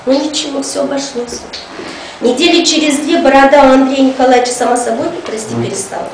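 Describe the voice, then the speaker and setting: A woman's voice: high-pitched, fairly even intonation, quick pace. A woman voicing a chatty, folksy school cleaning lady who tells gossipy tales to children, in colloquial language. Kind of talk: storytelling to children. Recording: echoey room, distant mic, noisy.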